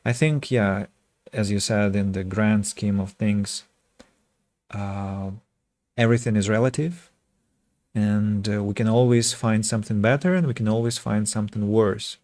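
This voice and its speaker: A man from Russia with a Russian accent chats casually with viewers as a game streamer, speaking reflectively and pausing mid-sentence. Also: fairly low pitch, steady tone, a little mellow, dry recording. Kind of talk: casual livestream chat with viewers.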